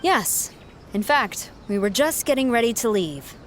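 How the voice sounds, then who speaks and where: A woman's voice: on the high side, sing-song, a little slow, a little hiss or hum. A woman voicing a composed female military commander who talks in a formal, matter-of-fact way.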